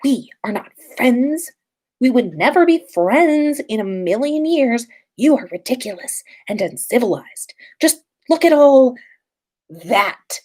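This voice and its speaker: A woman's voice: a little high, sing-song, takes it slowly, darkish tone, voice pushed up, exaggerated voice. A woman voicing a haughty, easily flustered teenage schoolgirl from a storybook, indignant and snobbish. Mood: scornful.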